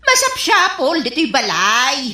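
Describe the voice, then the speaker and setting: A woman's voice: high-pitched, huge pitch swings, takes it slowly, pushed and bright, heavily acted. A woman acting a nagging, exasperated village wife with a complaining manner and an Ilocano accent, in a comedy radio drama. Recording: roomy, echoing sound.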